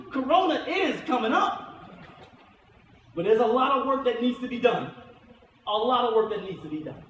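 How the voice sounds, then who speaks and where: A middle-aged man, an imam giving an emphatic sermon, repeating key phrases for weight. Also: very high-pitched. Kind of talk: sermon, Friday khutbah. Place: mosque prayer hall, indoors at a lectern. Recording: echoey hall from far off, faint noise.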